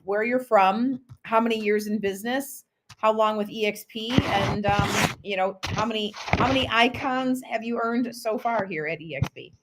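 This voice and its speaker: A woman's voice: mid-range voice, very noisy. A middle-aged woman moderating an online panel, chatting casually with lots of ums and friendly asides. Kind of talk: hosting a virtual panel.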